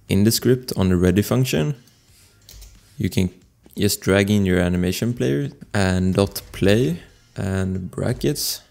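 A man presenting a casual software tutorial as a voice-over, explaining informally step by step into a close microphone. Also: sits low, gravelly. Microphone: close desktop microphone voice-over. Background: music.

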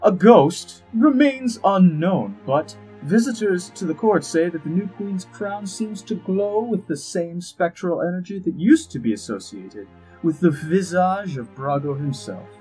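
An actor playing an urbane, wry, scheming ambassador in a fantasy audio drama, droll, precise and pompous, with a husky voice. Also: male voice, high voice, animated, measured pace, dark tone, poor audio. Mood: droll, exasperated. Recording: music behind the voice.

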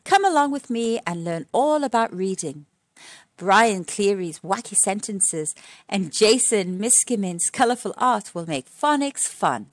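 A woman's voice: mid-range voice, dry recording, clean studio sound. A woman reading a picture book aloud as a phonics lesson for young children, teacherly, setting out words one by one and putting questions to her listeners. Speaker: children's phonics storytime reader.

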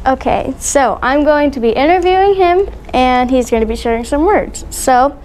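A woman's voice: sits high, lively intonation, normal speed, a little mellow. A woman speaking casually as a vlog host and interviewer. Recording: faint background noise.

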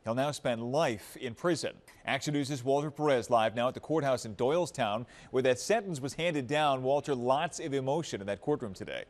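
A man's voice: medium pitch, moderate pace, hardly any pauses. An American man anchoring television news in a scripted delivery.

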